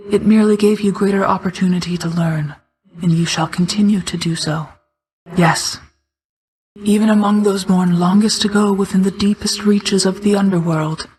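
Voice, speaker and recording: A woman playing an ancient, regal mother goddess of night in a video game, speaking in a formal, measured, restrained way, hushed at times. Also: medium pitch, close to an everyday voice, poor audio. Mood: measured, encouraging. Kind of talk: scripted video game character acting.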